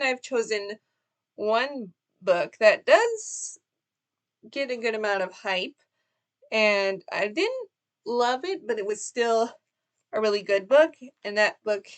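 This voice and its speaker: Young woman, fairly high voice, casual book chat, rambling, lots of self-corrections. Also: fairly bright tone. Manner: chatty and rambling, with frequent fillers and self-corrections. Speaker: book vlogger. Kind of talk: casual book tag vlog.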